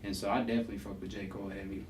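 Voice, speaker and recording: Lower-voiced rambling guest, echoey room. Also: male voice, flat delivery, racing. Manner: loose, rambling, heavy on fillers and slang. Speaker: guest rapper. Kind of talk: casual conversation. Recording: echoey room, distant mic, faint rumble.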